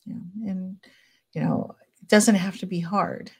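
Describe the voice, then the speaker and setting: A middle-aged woman psychologist speaking as a podcast guest, gentle and chatty, with frequent fillers and easy laughter. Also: medium pitch, fairly even intonation, unhurried, creaky, husky. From United States. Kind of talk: casual podcast interview.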